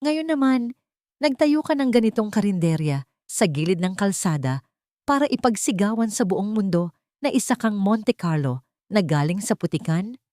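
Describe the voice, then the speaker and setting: A woman's voice: medium pitch, expressive, normal speed, a little mellow, dry recording, pitched above the performer's own voice, lightly acted. Woman acting haughty, sneering Filipino rich matriarch. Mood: scornful. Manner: sneering, menacing. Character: haughty, scornful rich matriarch, cruel mother-in-law.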